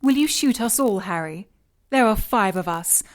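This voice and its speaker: An actress playing a cool, commanding villain boss in an audio drama, composed, precise and dryly condescending. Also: female voice, mid-range voice, expressive, normal speed, close to an everyday voice. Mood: unyielding.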